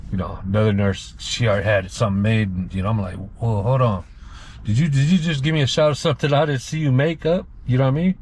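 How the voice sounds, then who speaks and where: A man's voice: mid-range voice, fairly even intonation, quick pace, darkish tone. Emphatic man telling a story in a car. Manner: emphatic, colloquial, repeats himself. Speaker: passenger. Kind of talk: casual personal storytelling. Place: inside a moving car. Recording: clear car cabin road noise.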